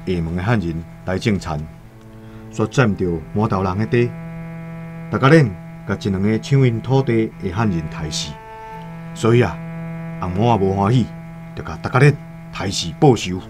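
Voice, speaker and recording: An actor playing a reflective seafaring trader in a radio drama, with a Taiwanese accent and measured storytelling. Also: male voice, lowish voice, close to an everyday voice. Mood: grave, explaining. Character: male seafaring trader, reflective and matter-of-fact. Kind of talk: radio drama monologue and dialogue. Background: faint music.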